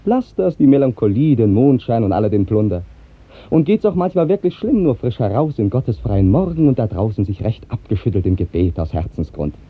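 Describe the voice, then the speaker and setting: An actor playing a hearty, teasing, cheerful singer in an exuberant, declamatory style of 1950s radio drama. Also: male voice, medium pitch, sing-song, a little fast, breathy edge, no room sound. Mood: good-natured exhorting. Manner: exuberant, declamatory, mocking, emphatic. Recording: muffled, tinny 1950s radio recording.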